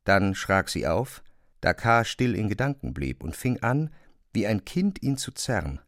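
A man narrating a literary radio drama in a measured, serious manner. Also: on the low side, big pitch swings, fairly bright tone, dry recording, clean studio sound.

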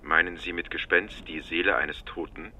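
An actor playing a cool, matter-of-fact doctor in a radio drama, asking clipped, clinical questions. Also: male voice, deep voice, fairly even intonation, normal speed, sharp tone, slight background noise. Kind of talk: naturalistic radio drama dialogue.

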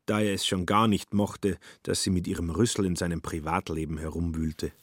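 A man narrating a literary radio play with a husky voice and a wry, dry storytelling manner. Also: deep voice, fairly even intonation, normal speed, no room sound.

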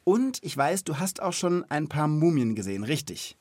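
A man's voice: a little high, very animated, normal speed, a little mellow, no room sound. Chatty man hosting, close dry studio sound. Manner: informal, chatty. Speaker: children's podcast host. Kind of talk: conversational explaining for children.

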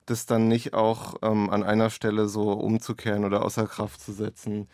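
A man's voice: lowish voice, monotone, moderate pace, darkish tone, dry recording, studio quality. A man speaking as an author in an interview, reflecting in a searching, winding way on his own writing. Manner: tentative, searching, winding sentences.

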